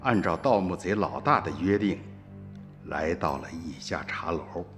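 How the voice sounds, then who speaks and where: A male narrator with a very deep voice tells a radio drama in a steady storytelling way. His pitch moves with lively range, and faint music plays underneath. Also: a little slow.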